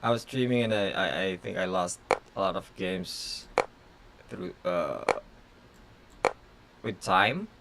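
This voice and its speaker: Slow, gravelly man thinking aloud. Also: low voice, heavy background noise. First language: Tagalog. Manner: thinks aloud in short halting phrases with many fillers. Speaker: chess grandmaster streaming blitz games. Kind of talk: casual live-stream commentary.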